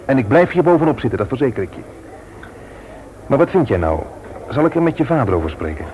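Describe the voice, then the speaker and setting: An actor playing a stern, indignant brother-in-law in a Dutch radio play, emphatic and threatening when crossed. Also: male voice, mid-range voice, big pitch swings, fast, darkish tone, close to an everyday voice. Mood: grave, indignant. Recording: very noisy old radio play recording.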